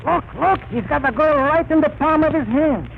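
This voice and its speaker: Sidekick: high-pitched, literal-minded, jittery, 1930s radio comedy buried in heavy noise. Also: female voice, moderate pace, vocal fry. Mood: nervous, excited. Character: eager, naive detective's sidekick, nervous wisecracker. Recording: dull, very noisy 1930s radio broadcast.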